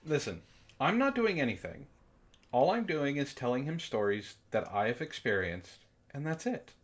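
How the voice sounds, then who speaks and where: A man with a middle-pitched voice hosts a casual livestream. He chats loosely with co-hosts and viewers. His announcements are jokey and playfully theatrical. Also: big pitch swings, normal speed. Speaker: host of a book club livestream.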